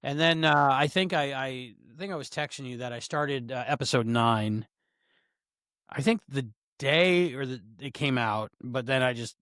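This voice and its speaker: A man's voice: medium pitch, normal speed, a bit bright, no room sound, studio quality. A man co-hosting a podcast, chatting casually with a playful manner. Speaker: podcast co-host. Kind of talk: casual chat.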